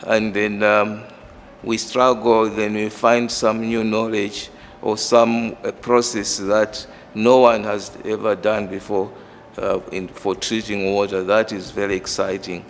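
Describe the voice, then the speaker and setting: A middle-aged man with a Malawian accent, a professor explaining work and background in a measured, halting way. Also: lowish voice, level tone, a little hiss or hum. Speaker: engineering professor. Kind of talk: interview monologue explaining own work and background. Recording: reverberant hall, music underneath. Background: background music.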